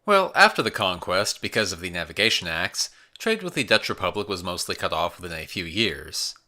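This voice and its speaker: A man with a deep voice hosts a history podcast, reading his script in a measured, explanatory way. His tone is bright and forceful despite the low pitch. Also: sing-song.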